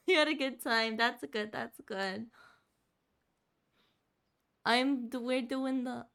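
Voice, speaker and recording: Bright, high-voiced bubbly woman streamer. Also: takes it slowly, gravelly. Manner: bubbly, giggly. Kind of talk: casual livestream chat.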